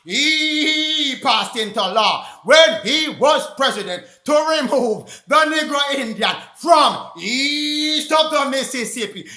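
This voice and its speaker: Guy preaching loud and slow, sing-songy, roomy echo. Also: very high-pitched. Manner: shouting, sing-song, rhetorical questions. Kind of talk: shouted fiery preaching.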